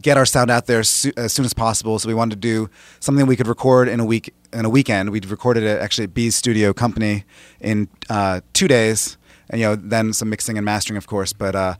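A man from the Boston area, his band's frontman, talks quickly in a low voice. He answers casually, full of fillers and quick restarts, over a dry studio mic. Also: fairly even intonation, no room sound. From Boston area, US East Coast. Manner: quick, chatty, with many fillers. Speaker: band frontman, guest.

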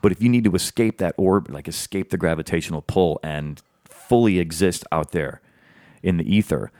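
Very deep-voiced male podcast host. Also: normal speed, no room sound, studio quality. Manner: rambling, animated questions with many "like"s. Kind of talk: casual interview chat.

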